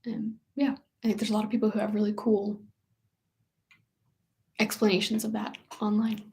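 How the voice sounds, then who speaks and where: A woman in her late twenties co-hosting a live question-and-answer chat, speaking in a calm, matter-of-fact way. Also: mid-range voice, moderate pace, creaky, slight background noise. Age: 20s. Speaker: co-host.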